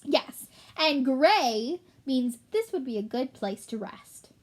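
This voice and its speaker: A fussy little male rat voiced by a woman from a children's storybook, high in pitch and full of self-importance. He talks like a proud mapmaker, haughty and easily affronted. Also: animated, exaggerated voice. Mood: businesslike. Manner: haughty, quick to take offence.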